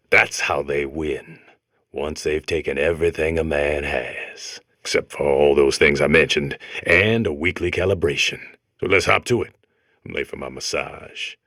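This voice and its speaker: A man voicing a weary, earnest robot character in a comedic game, with a low, raspy voice. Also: sharp tone, dry recording, studio quality, exaggerated voice. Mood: jaded, conspiratorial. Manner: world-weary, earnest monologuing.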